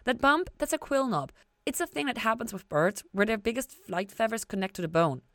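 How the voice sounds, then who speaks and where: A woman acting an excitable, rattled young scientist. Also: fairly high pitch, brisk, dry recording, clean studio sound, voice pushed up, natural voice. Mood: eager explaining. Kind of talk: acted storybook dialogue.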